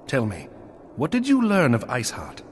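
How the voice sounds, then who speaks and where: Casting: gracious lord of a knightly outpost, fantasy game; formal old-world diction, highly varied intonation. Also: male voice, huge pitch swings, normal speed, a little hiss or hum. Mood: welcoming, curious. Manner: formal, archaic, courtly phrasing. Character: earnest, gracious nobleman commanding a snowbound knightly outpost. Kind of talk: scripted fantasy game dialogue.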